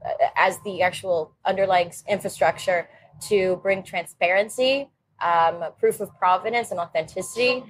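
A woman, a company founder, giving measured explanatory interview answers. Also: fairly low pitch, steady tone, slight background noise, low-quality recording. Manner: measured, explanatory, some fillers. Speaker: founder and CEO, interview guest.

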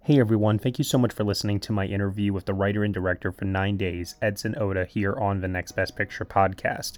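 A man's voice: on the low side, fairly even intonation, quick pace. A man reading a podcast outro in a practiced, upbeat manner. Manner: upbeat, practiced announcer delivery.

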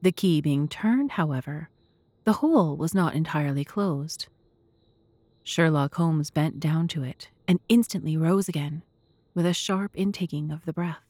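A woman narrating a classic mystery audio drama in a measured, literary storytelling manner. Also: sits low, a little mellow, no room sound.

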